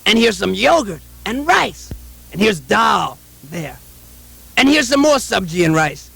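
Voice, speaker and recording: Hypocritical puppet monk, slow drawn-out delivery, sharp bright tone, raspy, insistent, theatrical. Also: male voice, sits high, sing-song, heavily acted. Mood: pushy insistence. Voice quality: raspy, creaky. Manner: insistent, exclaiming, repetitive, sanctimonious. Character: fussy, gluttonous, hypocritical renounced holy man puppet. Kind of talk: theatrical puppet show acting.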